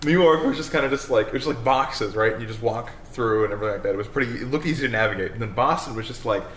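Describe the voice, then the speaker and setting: A man's voice: mid-range voice, very fast, fairly bright tone, echoey room, slight background noise. A man co-hosting a casual podcast, steering the topics and joking along. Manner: steers the topic, laughs often. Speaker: podcast co-host. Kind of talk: casual chat.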